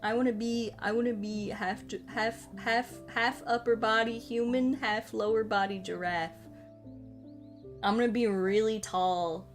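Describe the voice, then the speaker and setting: Woman, casual livestream chat, slow pace, playful, rambling, repeated phrases, soft background music. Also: on the high side, steady tone. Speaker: VTuber streamer chatting with viewers.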